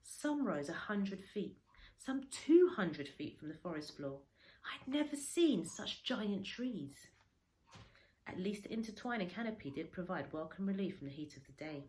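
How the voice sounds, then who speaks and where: A woman reading a children's story aloud like a teacher, dramatically, with pauses and stretched words for emphasis. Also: mid-range voice, very animated, mellow. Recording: echoey room, faint background noise.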